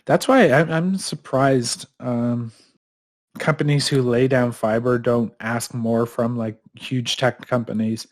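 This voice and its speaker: A man's voice: mid-range voice, fairly even intonation, slow. A man co-hosting a podcast in casual chat, a little stuffed up in his nose, rambling with fillers and restarts. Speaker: podcast co-host.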